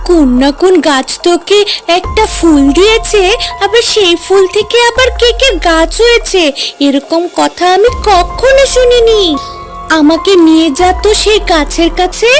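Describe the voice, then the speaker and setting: A woman's voice: very high-pitched, expressive, unhurried, music in the background. A woman voicing a stern, suspicious mother with a scolding, questioning manner in a cartoon. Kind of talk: cartoon story voice acting.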